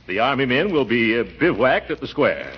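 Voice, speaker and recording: Casting: male actor as pompous, hemming American uncle, very animated, old radio comedy, muffled noisy recording. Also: mid-range voice, moderate pace, lightly acted. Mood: blustering. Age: middle-aged. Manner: hemming, chuckling bluster. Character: pompous, blustering, good-natured middle-aged uncle. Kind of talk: 1940s radio comedy acting. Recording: muffled, noisy 1940s radio, studio audience.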